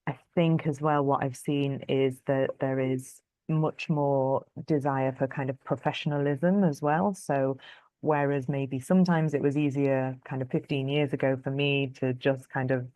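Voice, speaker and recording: A woman's voice: very deep voice, fairly even intonation, measured pace, mellow, no room sound. A woman in her thirties answering career questions in a webinar, thoughtful and hesitant, with many fillers. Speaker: public health lead answering career questions. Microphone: video call.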